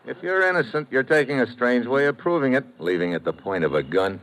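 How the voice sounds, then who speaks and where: An actor playing a terse, wisecracking American investigator, delivering tough noir narration and dialogue for radio. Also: male voice, mid-range voice, animated, brisk, a bit bright. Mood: wry, defiant. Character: hard-boiled, wisecracking American investigator hero. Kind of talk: first-person noir narration and radio acting. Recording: noisy old-time radio recording.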